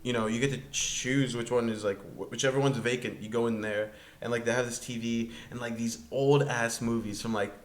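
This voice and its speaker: A man's voice: mid-range voice, steady tone, brisk, reverberant. A man in his twenties telling a story in casual podcast chat, laid-back, with lots of fillers and laughs. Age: 20s. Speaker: podcast guest.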